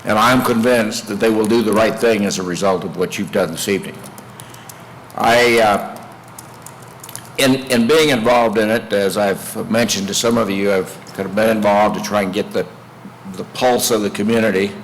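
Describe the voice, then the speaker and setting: A man with a fairly low voice makes unscripted remarks at a public meeting. He is halting and deliberate, and the room is reverberant with some noise behind him. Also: steady tone. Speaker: mayor.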